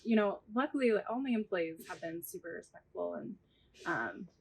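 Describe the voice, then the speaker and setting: A woman with a gravelly, creaky voice talking candidly in a casual chat. She is matter-of-fact about her work as a bar owner. There is a faint hiss of background noise behind her. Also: on the low side, expressive, moderate pace.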